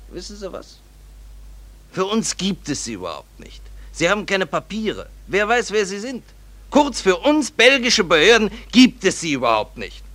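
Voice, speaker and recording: A man playing a gruff, impatient police commissioner in 1940s German radio drama, officious, dismissive and emphatic. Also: sits high, very animated, normal speed, sharp tone, no room sound, full character voice. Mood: lecturing, officious.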